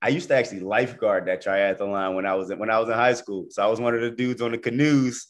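A man's voice: on the low side, steady tone, fast talker. A man in his thirties with an American accent, chatting informally as a guest and often repeating his words as he goes. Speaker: podcast guest, first-time triathlete. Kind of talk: casual chat.

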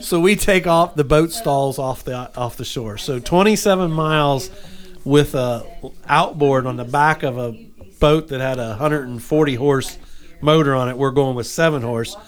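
A middle-aged man telling a story in casual chat with friends, animated and laughing as he acts out what people said. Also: medium pitch, normal speed.

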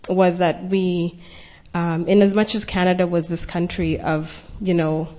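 A woman's voice: fairly low pitch, level tone, unhurried. A woman panelist speaking earnestly and reflectively at a conference panel discussion, thinking aloud as she goes. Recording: dull lo-fi conference recording.

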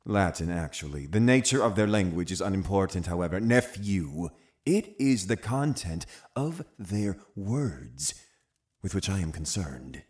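An actor playing a cunning, zealous Puritan uncle in an audio drama, commanding and condescending with deliberate phrasing. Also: male voice, deep voice, sing-song, a little mellow. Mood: scornful, brooding. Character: cunning, zealous Puritan uncle, a menacing witch-hunting authority. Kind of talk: full-cast audio drama acting.

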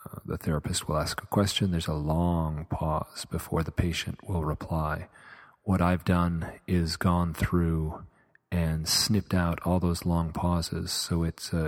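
Man with a cold, voice very deep. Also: big-room sound. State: has a heavy cold, voice much deeper than usual. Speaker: podcaster introducing edited audio.

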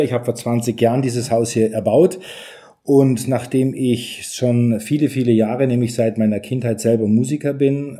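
A man's voice: medium pitch, mellow. A middle-aged man answering interview questions as a studio owner, chatty and explanatory with a joking streak. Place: home recording studio indoors.

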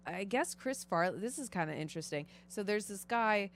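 A woman's voice: mid-range voice, animated, a little fast, slight background noise. A young woman from the Chicago suburbs chatting casually on a podcast, swearing often and filling her talk with fillers. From Chicago North Shore suburbs.

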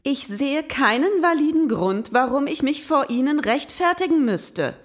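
An actress playing a prim young investigator in an audio drama, speaking in precise, formal, matter-of-fact sentences. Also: female voice, sits high, animated, moderate pace. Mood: pedantic, firm. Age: young adult. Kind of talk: scripted audio drama acting and narration.

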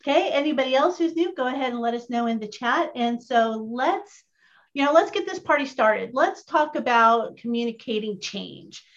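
A woman's voice: fairly high pitch, lively intonation, moderate pace. A woman hosting a casual online training chat, upbeat and chatty, often putting questions to her audience. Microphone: video call. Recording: muffled lo-fi call audio.

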